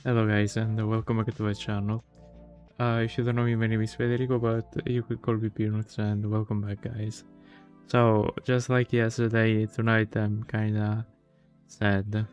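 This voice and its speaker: Slow-talking, low-voiced male streamer. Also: darkish tone, clear, resonant tone, highs cut off. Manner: hesitant, halting, subdued. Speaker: streamer hosting a live visual novel playthrough. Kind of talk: casual chat to stream viewers.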